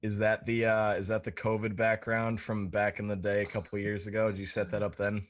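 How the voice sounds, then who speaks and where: A man's voice: fairly low pitch, little pitch movement, fairly quick. A man co-hosting a podcast, talking in a casual, rambling, informal way. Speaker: podcast co-host.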